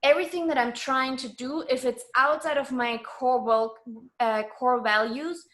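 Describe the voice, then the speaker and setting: A woman with a high voice, speaking as a language. She talks like a guest in a casual interview, sure of herself and informal. Her delivery is emphatic as she explains her views. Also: steady tone, moderate pace, a bit bright. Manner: emphatic, self-assured, informal.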